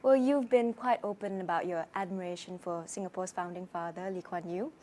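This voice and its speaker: A woman interviewing a politician for a news channel, asking crisp, pointed questions. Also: on the low side, fairly even intonation, fairly quick, warm tone.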